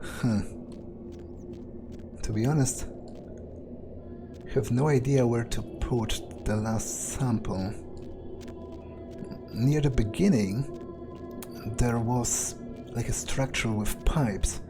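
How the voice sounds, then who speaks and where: A man's voice: medium pitch, lightly acted. A man as weary, foul-mouthed vampire over music. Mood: grumbling, musing. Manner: halting, musing, casually profane. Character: weary, foul-mouthed male vampire muttering his thoughts. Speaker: gaming video creator playing in character. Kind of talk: in-character game playthrough monologue, thinking aloud.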